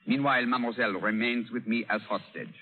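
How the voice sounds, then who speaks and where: An actor playing a menacing French pirate captain with a French accent, mock-courteous and theatrical, in a vintage radio drama. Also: male voice, medium pitch, fast talker, narrow, tinny audio, slight background noise, lightly acted. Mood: smug menace. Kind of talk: 1930s-40s radio drama acting. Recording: band-limited old radio broadcast.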